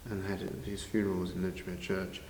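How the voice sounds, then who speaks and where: Deep-voiced older Englishman in an echoey hall. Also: flat delivery, fast, a little mellow. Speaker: interviewee, village pantomime organiser. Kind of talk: informal interview answers. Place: large village hall, indoors. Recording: very echoey hall, faint noise.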